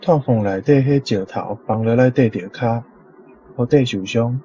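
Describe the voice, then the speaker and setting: A man's voice: mid-range voice, moderate pace, dark tone, slightly rough, no room sound. A man with a Taiwanese accent, a language teacher reading out translations. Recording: dull lo-fi recording. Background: faint music.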